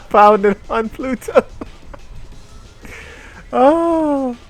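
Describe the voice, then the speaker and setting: A man's voice: extremely high pitch, animated, extremely slow, faint music behind. A man doing a mocking dramatic reading with asides, sarcastic, profane and exclamatory. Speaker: streamer reading aloud.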